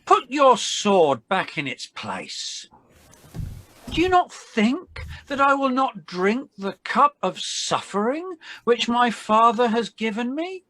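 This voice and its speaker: Woman reading as a slow, solemn Jesus. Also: a little high, big pitch swings, fairly bright tone, heavily acted. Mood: firm, solemn. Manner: slow, deliberate, measured delivery. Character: Jesus, calm, solemn man under arrest and questioning. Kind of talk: dramatized Bible reading.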